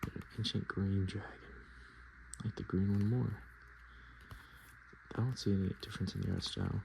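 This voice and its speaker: A man softly speaking and whispering in a casual, murmuring ASMR style, as host showing items to the viewer. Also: sits low, fairly even intonation, normal speed, gravelly, a little hiss or hum, poor audio. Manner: hushed, casual murmured asides. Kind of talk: ASMR, softspoken and whispered card show-and-tell.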